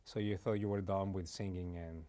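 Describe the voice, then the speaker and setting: A man with a somewhat low voice hosts a TV interview. He laughs a lot and stops and restarts his questions as he goes. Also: fairly even intonation, normal speed, dark tone. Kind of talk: casual interview.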